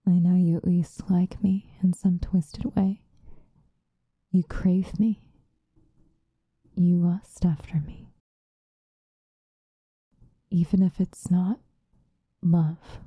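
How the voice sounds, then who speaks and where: A woman playing an obsessive, possessive lovesick woman in an intimate, whispered ASMR roleplay, with a breathy voice. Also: lowish voice, steady tone, mellow, dry recording. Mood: possessive, resigned. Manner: slow, intimate, pleading.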